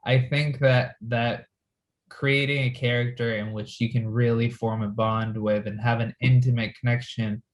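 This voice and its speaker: A man being interviewed as a filmmaker, talking casually and reflectively, with halting phrasing. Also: mid-range voice, level tone. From grew up in the West.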